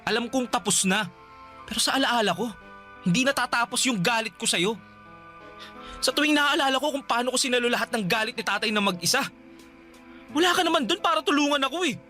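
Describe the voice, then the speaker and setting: A man's voice: extremely high pitch, fairly quick, long pauses, bright, forceful tone, soft background music. A man playing a resentful, defensive younger brother in a Filipino radio drama, sarcastic and wounded. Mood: hurt, bitter.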